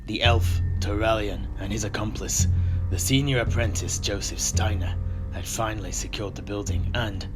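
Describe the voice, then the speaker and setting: A low-pitched male storyteller delivers scripted fantasy narration with dramatic weight. Instrumental music plays clearly behind his voice throughout. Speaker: storyteller, narrator of a game campaign.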